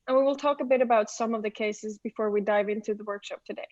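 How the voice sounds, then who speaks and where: A woman leading a service design team, giving a relaxed, chatty introduction of her company. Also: mid-range voice, fast, muffled recording.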